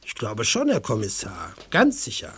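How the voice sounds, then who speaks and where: An actor playing a self-certain middle-aged dentist in a radio play, emphatic and precise. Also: male voice, fairly low pitch, huge pitch swings, normal speed, a bit bright, breathy edge, heavily acted. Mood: assured.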